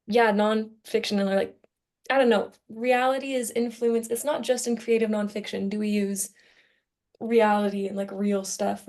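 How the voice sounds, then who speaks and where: A young woman speaks in an informal, conversational podcast style. Her voice sits at an average pitch for a woman, and she talks as one student co-host to others. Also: gravelly, darkish tone. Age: young adult. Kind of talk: casual podcast discussion.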